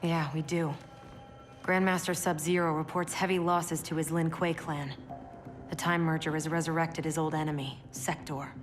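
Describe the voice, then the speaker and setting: Woman acting a low-voiced, brisk military officer. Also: flat delivery, close to an everyday voice. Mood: businesslike. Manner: businesslike, matter-of-fact. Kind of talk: cinematic video game acting. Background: faint music.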